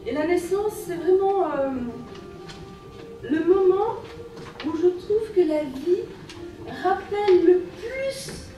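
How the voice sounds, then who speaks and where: High-voiced French woman in an echoey hall. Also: expressive, takes it slowly, pauses often, a little hiss or hum. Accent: French from France. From France. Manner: gentle, lyrical, with repeated phrases. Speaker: workshop leader. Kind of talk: guided women's workshop talk. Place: workshop room at a festival. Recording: very echoey room, from far off, music behind.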